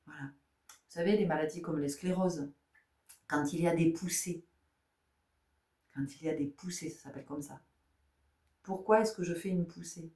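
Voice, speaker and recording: A woman's voice: low-pitched, warm tone, recorded from a distance. A woman giving a spiritual tarot guidance talk, asking rhetorical questions, repeating phrases and often checking in with her listeners. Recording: echoey room.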